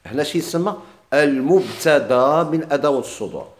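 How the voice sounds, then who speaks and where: Man, Moroccan accent, grammar teacher, fast delivery, slightly high voice, quizzes the class. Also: expressive. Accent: Moroccan Arabic. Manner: drawls quoted examples slowly, quick plain explanations; asks students questions and repeats their answers. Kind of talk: classroom lesson, questioning students.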